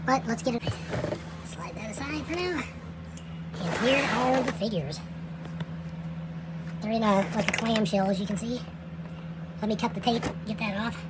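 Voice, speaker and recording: Woman, hobby reviewer, casual and rambling unboxing talk; home recording with clear background noise. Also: on the high side, moderate pace, long pauses. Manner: relaxed, chatty, with frequent fillers and trailing phrases. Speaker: toy reviewer. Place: indoors at night.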